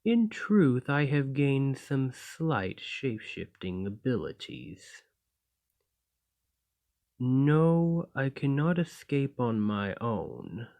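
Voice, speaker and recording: Slow, formal clay golem, acted by a man. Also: mid-range voice, very animated, creaky, darkish tone, exaggerated voice. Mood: cold, menacing. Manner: formal, measured, deliberate phrasing. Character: clay golem, imprisoned, polite, learned, quietly desperate. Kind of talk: scripted ASMR roleplay acting.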